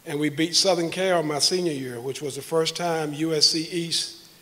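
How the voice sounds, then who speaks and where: A man in his fifties from South Carolina gives a prepared acceptance speech as a hall of fame inductee. He is hoarse from losing his voice and keeps clearing his throat. He speaks formally and with gratitude at a podium in a banquet hall. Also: fairly high pitch, fairly even intonation, normal speed, bright, forceful tone. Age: 50s. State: hoarse, has lost his voice. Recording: long reverb of a large hall.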